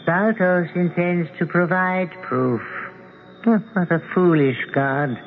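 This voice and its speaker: A muffled, hissy old radio broadcast carries an imperious old god. He speaks slowly and formally, in a fairly high male voice, handing down pompous, stern pronouncements in radio-play style. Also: steady tone, faint music behind. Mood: scornful, stern. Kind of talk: 1970s radio drama acting.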